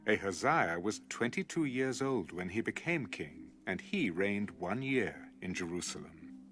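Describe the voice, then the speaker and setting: Deepish guy reading the bible like an audiobook, lively intonation, soft music behind. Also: a little slow. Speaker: scripture narrator.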